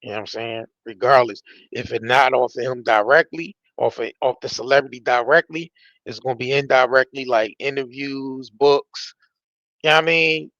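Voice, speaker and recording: A man's voice: mid-range voice, steady tone, moderate pace, a bit bright, dry recording, dull audio. An American man giving casual, off-the-cuff commentary in a colloquial, conversational way, often checking that the listener follows. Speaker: reaction show commentator.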